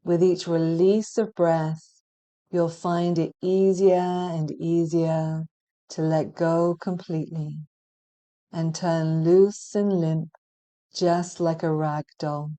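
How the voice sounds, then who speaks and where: A woman with a fairly low, gentle voice guides a hypnotic relaxation session. Her tone is dark and mellow, and she speaks very slowly. Phrases come in a measured, soothing flow with long pauses between them. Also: flat delivery. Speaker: hypnotherapy practitioner guiding meditation. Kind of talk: guided relaxation meditation, hypnotic scripted narration.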